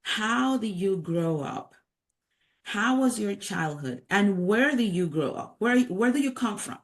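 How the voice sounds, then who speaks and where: A woman hosting a podcast, reading a guest introduction and asking measured, encouraging questions. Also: mid-range voice, animated. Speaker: podcast host.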